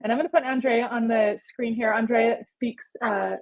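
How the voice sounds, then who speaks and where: A woman's voice: a little high, steady tone, normal speed, rough recording. A woman casually hosting a livestream and reading out viewer questions.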